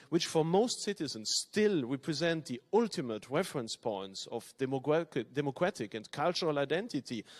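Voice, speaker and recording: A man from Belgium whose first languages are German and French, giving a measured, formal opening address as panel chair. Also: mid-range voice. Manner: measured, formal, reads from a text. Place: conference hall.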